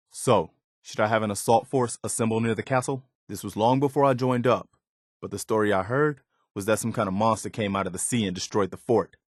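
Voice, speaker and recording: A man voicing an earnest, loyal militia officer in a video game, sincere, measured and plain-spoken. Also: on the low side, dry recording, studio quality, lightly acted. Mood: resolute. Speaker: voice actor. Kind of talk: video game dialogue acting.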